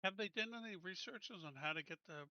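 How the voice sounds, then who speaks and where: Male player, sharp and forceful higher voice, casual stop-and-start planning, dry. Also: fast, dry recording, dull audio. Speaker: tabletop game player. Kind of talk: casual game planning talk.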